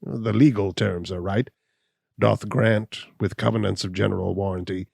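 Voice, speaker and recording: A man voicing a scornful, cynical hunchbacked old landowner who speaks slowly and defiantly. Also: on the low side, fairly even intonation, creaky, darkish tone, breathy edge, no room sound, clean studio sound, heavily acted.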